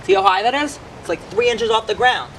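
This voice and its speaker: A young man presents simple science to children in a home-made recording. His voice sits a little high and moves up and down in an animated way. There is faint background noise. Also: fairly quick. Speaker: read-aloud host and science demonstrator. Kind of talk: children's read-aloud and hands-on explanation.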